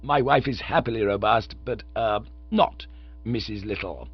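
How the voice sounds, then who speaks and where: A man's voice: lowish voice, a little slow, breathy edge, dry recording, faint music behind. A man voicing a pompous, portly elderly lord who speaks in stately, formal, wordy phrases. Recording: dull lo-fi dry recording.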